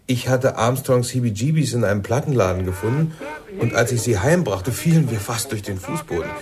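An eager young-at-heart jazz musician, played by a male actor, recounts wild nights in a mid-pitched voice. Music plays clearly behind him in this radio play. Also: expressive, a little fast, close to an everyday voice.

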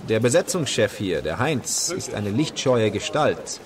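A man's voice: fairly low pitch, sing-song, a little slow. A roguish, down-at-heel film actor in a radio play. He is theatrical and jesting, with a wildly varied melody. A busy outdoor crowd surrounds him. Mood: jovial, sardonic. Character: hard-up, roguish film actor, garrulous and sardonic. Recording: crowd and outdoor street sounds behind.

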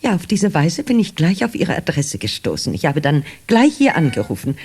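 An elderly grandmother in a children's radio drama. She is fussily polite and hesitant, stammering as she goes. Her pitch swoops up and down freely, over faint background noise. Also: sing-song, moderate pace, darkish tone. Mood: chatty, polite. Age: older. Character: polite, flustered elderly grandmother returning a lost cat. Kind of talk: German children's radio play acting.

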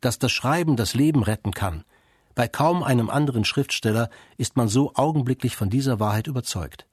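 A man narrating a radio feature in a measured, composed, scripted way. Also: medium pitch, breathy edge, no echo, clean studio sound.

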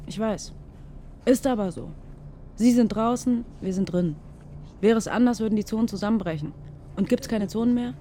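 A woman's voice: fairly high pitch, mellow, a little hiss or hum, lightly acted. A woman as earnest young student Deirdre. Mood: firm. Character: earnest, idealistic young female boarding-school student Deirdre. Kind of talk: naturalistic radio play acting.

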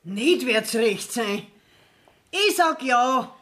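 A woman's voice: fairly high pitch, expressive, drawn-out words, fairly bright tone, exaggerated voice. An actress playing a stubborn, aggrieved middle-aged peasant mother in a radio play, with a Bavarian accent. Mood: disgruntled. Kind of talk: dialect radio play acting.

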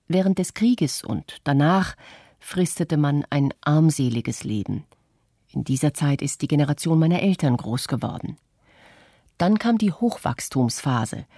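Woman reading as earnest middle-aged witness, radio play, husky voice, really dry recording. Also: low voice, warm tone, no echo. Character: earnest middle-aged Japanese witness, critical of modern consumption. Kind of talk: scripted documentary radio play monologue.

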